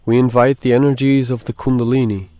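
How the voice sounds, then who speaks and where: A man leading a guided meditation, speaking in a deliberate, halting way with pauses. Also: mid-range voice, level tone, dark tone, no echo.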